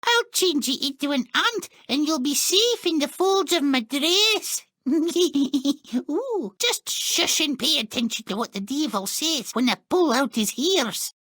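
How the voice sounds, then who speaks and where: A man's voice: extremely high pitch, expressive, takes it slowly, pushed and bright, dry recording, full character voice. A man voicing a crafty, fussy little old granny in a fairy tale game, with sing-song, theatrical delivery.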